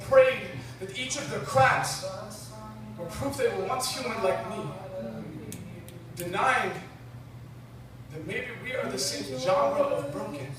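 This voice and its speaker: A young man in his late teens performs spoken-word poetry with dramatic, deliberate phrasing and weighted pauses. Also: extremely high pitch, a crowd in the background. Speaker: slam poet. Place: live poetry slam venue. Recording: echoey room, distant mic, clear noise.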